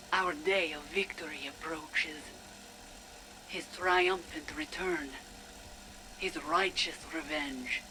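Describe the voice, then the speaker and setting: A woman playing a fervent, secretive zealot recording a hushed, intense personal log for a video game. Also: deep voice, background noise. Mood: quiet anticipation. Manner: slow, hushed, intense, deliberate. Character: fervent, secretive female zealot awaiting her father's vengeance.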